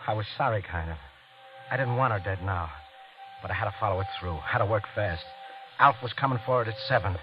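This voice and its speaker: A man's voice: lowish voice, close to an everyday voice. An actor playing a guilty, panicky man on the run after a killing, narrating in the first person in old radio drama style, tense and prone to stammering. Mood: haunted, brooding. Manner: tense, hurried, stammering when questioned. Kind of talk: 1940s-50s radio acting, first-person thriller narration. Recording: dull old radio broadcast with music.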